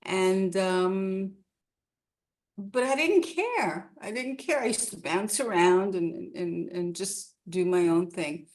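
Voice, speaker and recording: A woman's voice: mid-range voice, expressive, takes it slowly, darkish tone. A woman in her sixties from Canada, a guest telling her life story in a rambling, casual chat with many restarts.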